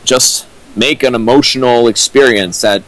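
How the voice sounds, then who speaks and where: A man's voice: medium pitch, moderate pace, fairly bright tone, a little hiss or hum, poor audio. A young man holding forth on writing theory in a casual stream chat, earnest and full of fillers. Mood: lecturing. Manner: earnest lecturing with many fillers. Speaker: co-host riffing a fanfic. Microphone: home mic on a stream.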